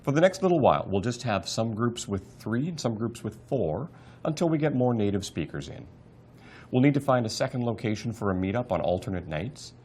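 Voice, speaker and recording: A man's voice: lowish voice, animated, a little fast, a little mellow, breathy edge. A man, organizing a club in a scripted dialogue, upbeat and persuasive.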